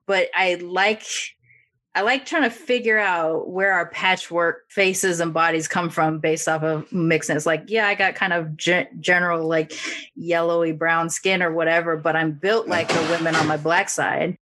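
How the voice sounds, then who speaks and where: Female podcast host, lower register, candid and reflective, quick soft laughs. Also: steady tone. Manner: open, reflective, often laughing softly. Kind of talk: candid conversational interview.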